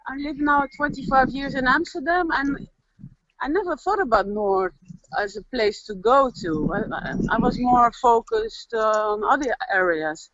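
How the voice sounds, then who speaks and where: A middle-aged woman chatting in a relaxed way in an interview, thinking aloud about where she lives. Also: high voice, unhurried, dry recording. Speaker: local resident. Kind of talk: casual interview answers. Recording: dry, dull lo-fi audio.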